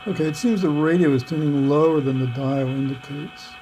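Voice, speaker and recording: A man's voice: on the high side, fairly quick, background noise. A man giving an unscripted hands-on technical walkthrough, thinking aloud in a matter-of-fact way. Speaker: presenter of a radio repair demonstration.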